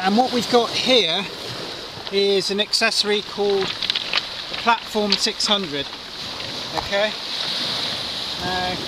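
Chatty man demoing kayak gear outdoors. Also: high voice. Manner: chatty, explains step by step with fillers. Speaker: kayak angler reviewing gear. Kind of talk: casual hands-on product demo. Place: outdoors on a pebble beach by the sea. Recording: distant mic with loud wind and surf.

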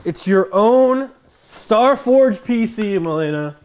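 A man's voice: high-pitched, takes it slowly. A man in his early thirties hosting a casual live stream from his home kitchen, blunt and dry in his replies. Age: early 30s. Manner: blunt, dry, deadpan refusals. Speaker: streamer host.

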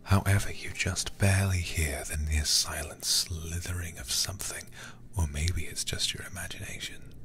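A man softly whispering close to the microphone in an ASMR game stream, reading text deliberately and murmuring intimate asides to his viewers. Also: steady tone. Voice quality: breathy whispering, low and gravelly. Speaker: livestreamer playing a horror game.

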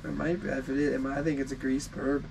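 A man joking and talking fast while ice fishing inside a shack with friends. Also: mid-range voice, level tone, creaky, a little mellow, a little hiss or hum. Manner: joking, laughing, quick remarks.

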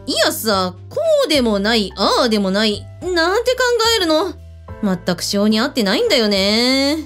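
A woman's voice: a little high, sing-song, normal speed, a bit bright, soft background music. An actress in a radio drama playing a breezy, blunt female hotel owner who speaks with authority. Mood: casual, grumbling.

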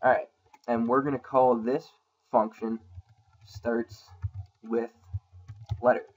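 Man, coding tutorial host, casual, lots of ums, slightly sore throat, mid pitch. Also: big pitch swings, slow pace, lo-fi sound. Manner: casual, thinking aloud with many ums. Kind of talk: screen-recorded coding tutorial. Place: at a computer, voice-over on screen capture.

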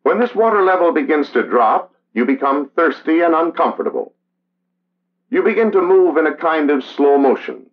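An American man giving measured, solemn, scripted narration in the style of a 1960s training documentary. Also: on the high side, lively intonation. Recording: dull vintage film soundtrack. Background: music.

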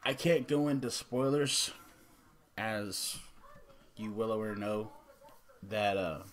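A man in his thirties giving a casual, unscripted movie review as a vlog host, rambling and halting, with some mild swearing. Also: lowish voice, background noise. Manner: rambling, halting, mildly profane. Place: small room indoors at home.

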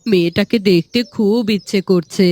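A woman's voice: medium pitch, normal speed, no echo, close to an everyday voice. A woman voicing a greedy, spiteful village mother in a fairy-tale cartoon, scornful and scheming. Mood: gloating, greedy. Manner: sharp-tongued, scornful.

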